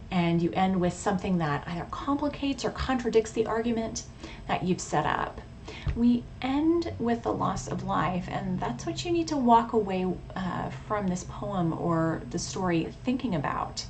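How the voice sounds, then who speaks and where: A thoughtful, measured woman speaking as a hobbyist vlogger. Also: medium pitch, expressive, a little mellow. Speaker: radio hobbyist vlogger and poet. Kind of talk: casual informative vlog talk with poetry reading. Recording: clearly audible background noise.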